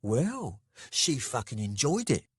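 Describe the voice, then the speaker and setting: A man voicing a big, blunt, gruff male pit fighter in a story, provocative and mumbling. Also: medium pitch, sing-song, takes it slowly, no room sound, studio quality, voice pushed up, exaggerated voice. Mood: defiant, taunting.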